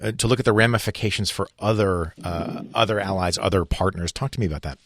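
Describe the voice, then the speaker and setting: A man's voice: deep voice, fast talker, fairly bright tone, dry recording, clean studio sound. A man anchoring a news interview, asking brief, prompting questions.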